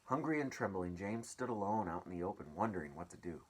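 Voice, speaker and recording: A man reading a children's story aloud as an expressive storyteller. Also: low-pitched, fast, breathy edge.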